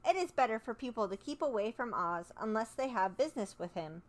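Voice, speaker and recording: A woman's voice: a little high, expressive, close to an everyday voice. A woman voicing a grave little villager man. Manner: grave and measured. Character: grave small male villager. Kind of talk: storybook read-aloud.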